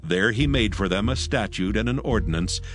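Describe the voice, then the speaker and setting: Deep, expressive male scripture narrator. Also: normal speed. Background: music.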